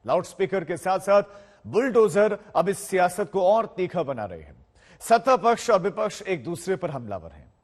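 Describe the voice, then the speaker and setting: A man's voice: high-pitched, sing-song, moderate pace. A man reading a scripted news voice-over. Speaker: news narrator.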